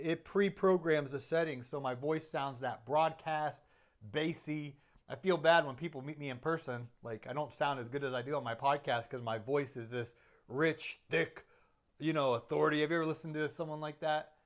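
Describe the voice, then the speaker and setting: A man's voice: on the high side, steady tone, moderate pace. A man who is a podcaster, casually reviewing his audio gear in a chatty, self-deprecating way with lots of fillers and restarts.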